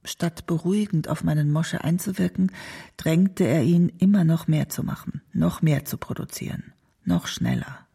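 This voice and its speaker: A man's voice: fairly high pitch, animated, normal speed, vocal fry, dark tone, husky, full character voice. An actor playing a nostalgic man who recalls a troubled friend in a reflective, conversational radio monologue, caring but disapproving. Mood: worried, disapproving. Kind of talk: radio documentary drama monologue.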